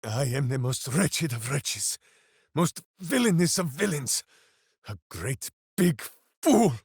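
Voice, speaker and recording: A man's voice: on the high side, big pitch swings, takes it slowly, darkish tone, slightly rough, no echo, studio quality, heavily acted. A man playing a dim, big-hearted warrior hero in a video game, boisterous and theatrical, speaking of himself in the third person. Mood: earnest, simple-hearted. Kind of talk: video game character voice lines.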